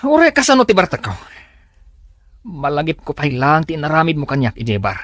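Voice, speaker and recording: A man's voice: on the high side, wildly swooping pitch, fairly quick, a bit bright, no echo, soft background music. An actor playing a cocky, defensive young womanizing boyfriend in a Filipino radio drama, with a Filipino accent. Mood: defensive, annoyed. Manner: defensive, exasperated, self-satisfied. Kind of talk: Philippine radio drama acting.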